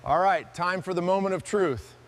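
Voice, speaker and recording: A man's voice: high-pitched, normal speed. A man hosting a restoration video, giving hands-on workshop commentary.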